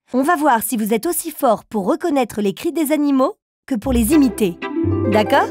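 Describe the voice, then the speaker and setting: Actress as kind teacher lady in a kids cartoon, high voice, encouraging, soft music behind. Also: no echo, clean studio sound, close to an everyday voice. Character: kind, encouraging grown-up woman leading children's riddles. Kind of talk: children's cartoon presenting.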